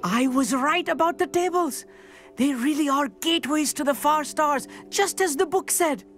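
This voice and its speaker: A man's voice: high voice, big pitch swings, moderate pace. An actor playing an eager, bookish, nervous teenage boy astronomer in a video game, chatty and slightly formal in manner. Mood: excited, proud. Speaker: companion character in a fantasy video game. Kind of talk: scripted game dialogue. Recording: game music under the voice.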